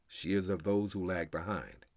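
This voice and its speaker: A man reads scripture aloud from a script in a spirited, solemn, declamatory way, with measured phrasing and deliberate pauses. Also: deep voice, steady tone, a little mellow, no echo. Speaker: reader of scripture.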